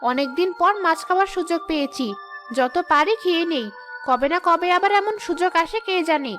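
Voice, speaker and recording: Woman voicing a very high-pitched, greedy cartoon ghost. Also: fairly quick, music in the background. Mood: gloating. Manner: scheming, grumbling, boastful. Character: mischievous, greedy female tree ghost, sly and menacing. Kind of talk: cartoon character acting.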